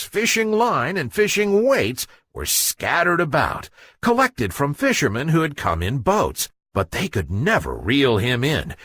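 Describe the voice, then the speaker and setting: A man's voice: medium pitch, fairly bright tone, husky, dry recording. A man narrating a children's bedtime story expressively, building suspense in a dramatic way.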